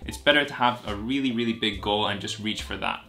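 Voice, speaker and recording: A young man in his twenties talks straight to a camera set a little way off while he sits on a sofa at home. His voice is slightly low, with a bright, forceful edge. He keeps a lively, friendly vlog tone, and faint music plays quietly underneath. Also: fairly quick, gravelly. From Greece. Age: 20s. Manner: upbeat, chatty and direct. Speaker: YouTuber and medical student. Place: living room, seated on a sofa. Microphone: camera at medium distance.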